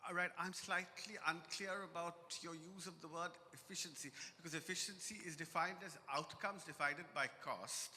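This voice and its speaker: A man's voice: on the high side, steady tone, normal speed, hardly any pauses, pushed and bright, slight background noise. A man in the audience at a conference asking precise, probing questions with a slightly apologetic tone, in a large hall. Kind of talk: question from the floor.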